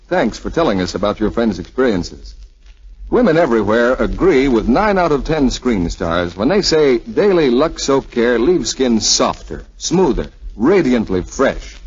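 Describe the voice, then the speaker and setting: A male announcer from 1940s radio, with lively swooping intonation and a husky edge, reads scripted pitches. The recording is dull.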